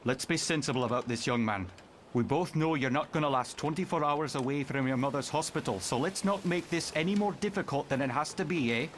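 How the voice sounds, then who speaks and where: A man's voice: fairly high pitch, fairly quick, slight background noise, close to an everyday voice. A man acting a police sergeant with a Scottish accent in a motion comic. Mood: urgent, firm. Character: gruff Scottish police sergeant, later possessed by a gleeful mutant.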